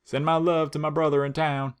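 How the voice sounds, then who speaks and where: A man's voice: fairly high pitch, flat delivery, a little fast, clear, resonant tone, pitched above the performer's own voice, lightly acted. A man voicing a friendly, affectionate talking rock with a homely, obliging manner in a storybook reading.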